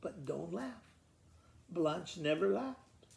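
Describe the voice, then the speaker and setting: A man's voice: high-pitched, animated, slow, creaky, a little mellow. A man telling a children's story in a simple, conversational way, informally explaining it as he goes. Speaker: school principal reading to pupils. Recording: roomy echo, faint background noise.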